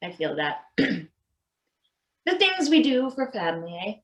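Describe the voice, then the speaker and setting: Wry, sympathetic maintenance worker, played by a woman, highish bright female voice, echoey room, stage table read. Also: big pitch swings, a little slow. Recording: roomy echo on the voice.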